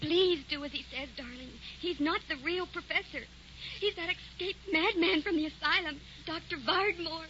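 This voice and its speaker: High-voiced pleading sweetheart. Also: female voice, normal speed. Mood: urgent pleading. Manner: pleading, earnest. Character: frightened young sweetheart, pleading with her lover. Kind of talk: old-time radio drama acting. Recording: dull, hissy old radio recording.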